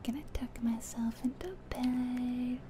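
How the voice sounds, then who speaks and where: A woman playing a friendly, chatty taxi driver in soft-spoken ASMR roleplay, breathy and often whispering, gently hesitant as she murmurs along. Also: fairly high pitch, flat delivery, slow pace. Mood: tired muttering. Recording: soft ambient car and rain noise.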